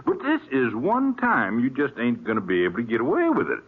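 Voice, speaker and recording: Chummy frontier sheriff, husky, expressive, rustic and stubbornly genial, vintage radio western. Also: male voice, medium pitch, a little fast. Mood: knowing. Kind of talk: 1950s radio western acting. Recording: muffled, tinny old radio recording.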